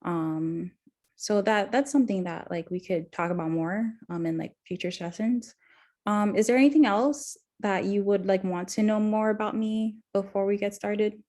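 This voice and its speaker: A woman's voice: medium pitch, normal speed, darkish tone. Hesitant young woman counselor. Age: 20s. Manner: hesitant, heavy on fillers and restarts. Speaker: counselor in a practice session. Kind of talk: mock counseling intake conversation.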